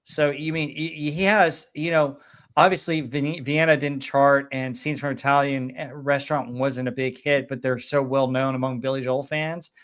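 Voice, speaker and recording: A man's voice: mid-range voice, fairly even intonation, normal speed, a bit bright. Enthusiastic man hosting a music chat. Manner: enthusiastic, rambling with fillers. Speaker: podcast host. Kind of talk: casual chat about music.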